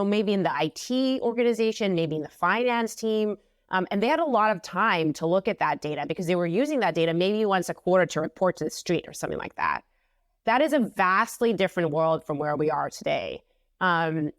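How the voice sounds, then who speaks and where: Woman from israel chatting on a video call, earbud mic, lowish voice, dry sound. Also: dry recording. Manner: chatty, frequent ums and restarts. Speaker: guest, company CEO. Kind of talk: casual interview answer. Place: home office with bookshelves. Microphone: earphone mic on video call.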